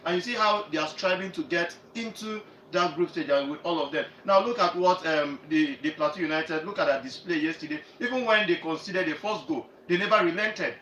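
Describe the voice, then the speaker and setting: Earnest Nigerian man talking sport, fairly high voice. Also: a little fast, few pauses, bright, forceful tone. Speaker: radio sports show panellist. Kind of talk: live sports commentary and discussion. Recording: roomy, distant mic, faint noise.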